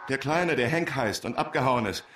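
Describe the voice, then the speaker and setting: An actor playing a naive, nervous, pious undertaker's helper in a German radio crime drama, anxious and pleading. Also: male voice, mid-range voice, expressive, moderate pace, a bit bright, recorded from a distance, a little hiss or hum, lightly acted.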